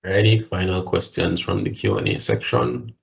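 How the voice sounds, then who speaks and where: A man's voice: low voice, sing-song, moderate pace, vocal fry, dull audio. A man acting as moderator on an earnings call, reading out questions in turn.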